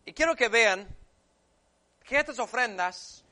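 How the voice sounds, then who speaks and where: A man who is a native English speaker preaching a sermon and reading scripture, emphatic and repetitive, swinging between hushed and forceful delivery. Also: extremely high pitch, animated, measured pace.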